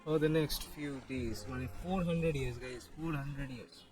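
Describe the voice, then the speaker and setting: A man's voice: mid-range voice, moderate pace, over music, poor audio. A man who is a native Bengali speaker, giving casual game commentary and explaining things to viewers. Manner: mixes languages, explains to viewers. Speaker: gaming streamer.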